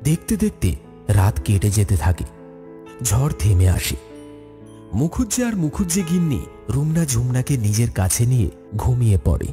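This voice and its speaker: A man narrating a scripted horror story in an expressive storytelling manner. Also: mid-range voice, moderate pace, pauses often. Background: music.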